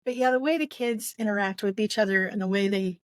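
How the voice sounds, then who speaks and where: A woman's voice: medium pitch, quick pace, no echo. Woman chatting casually, close dry sound. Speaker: book podcast co-host. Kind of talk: casual book discussion.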